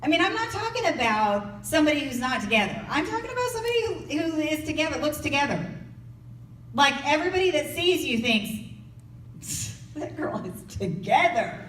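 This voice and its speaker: A woman with a fairly high voice teaching a goal-setting workshop in a lively, playful way. She acts out people's anxious inner voices. The sound is echoey and a little distant, as if picked up from across a room. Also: normal speed, a little hiss or hum. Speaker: workshop speaker. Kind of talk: motivational workshop talk.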